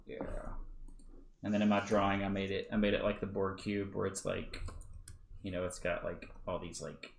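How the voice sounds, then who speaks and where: A low, gravelly man hosts a live drawing stream in a laid-back, joking way. His voice has a rough, creaky edge. The recording is roomy and echoey, with a faint hiss behind it. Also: monotone, moderate pace. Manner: laid-back, joking, curses when he slips up. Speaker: art stream host. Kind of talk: casual chat while drawing on stream. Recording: roomy echo.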